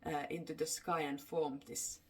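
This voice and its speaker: A woman with a Finnish accent, a native Finnish speaker, telling folklore unscripted in a halting way, searching for her words. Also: deep voice, little pitch movement, husky. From Finland. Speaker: storyteller. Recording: distant microphone.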